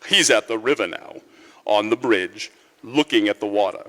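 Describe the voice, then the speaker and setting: A man playing a calm, commanding senior angel in a 1940s-style radio drama, measured and authoritative. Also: fairly low pitch. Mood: calm authority. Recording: reverberant live hall.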